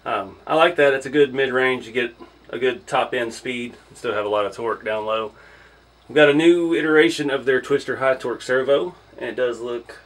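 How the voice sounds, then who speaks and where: A man's voice: medium pitch, a little fast, poor audio. Casual man doing a hands-on product look. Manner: informal, thinking aloud, chuckles often. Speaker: hobby vlogger reviewing an RC truck.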